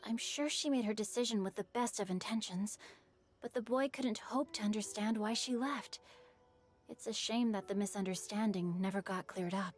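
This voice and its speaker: A woman voicing a calm, thoughtful, sympathetic character in a video game. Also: medium pitch, normal speed, natural voice. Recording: music behind the voice.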